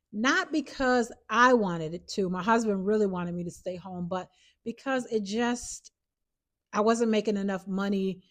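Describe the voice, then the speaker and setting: A woman in her fifties, a holistic health coach, talking to camera in an earnest, chatty way, often repeating herself. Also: mid-range voice. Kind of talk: casual talk to camera.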